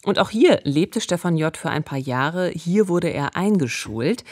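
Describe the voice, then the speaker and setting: A woman's voice: lowish voice, expressive, measured pace, a little mellow, dry recording. A woman in her forties narrating true crime as a podcast host, speaking conversationally into a close studio microphone. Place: radio studio. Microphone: close studio microphone with pop filter.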